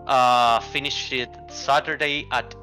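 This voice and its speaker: Bright-voiced Argentine-born man, easygoing. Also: mid-range voice, fairly even intonation, takes it slowly, soft background music, poor audio. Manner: easygoing, restarts often, many likes and rights. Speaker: interview guest, card game player. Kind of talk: casual chat.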